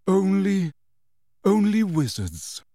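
A man narrator performs a pleading teenage boy wizard from a storybook, pitched up high. He is impatient and insistent, firing urgent questions with swooping intonation. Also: sing-song, slow pace, warm tone, dry recording, clean studio sound, exaggerated voice.

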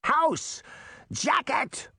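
A man voicing an ancient, imperious witch who speaks slowly and with authority. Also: on the high side, wildly swooping pitch, creaky, no echo, studio quality, heavily acted. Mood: commanding. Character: ancient imperious female witch, slow and commanding.

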